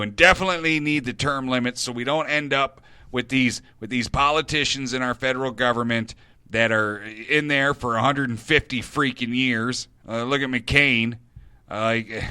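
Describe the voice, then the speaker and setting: A male radio host talks straight into a studio mic in a blunt opinion monologue. His voice is forceful and bright, smooth and clear, with a dry, close sound. He leans on repetition to hammer his points home. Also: medium pitch, fairly even intonation, normal speed, no echo. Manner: emphatic, repeats words for stress, blunt.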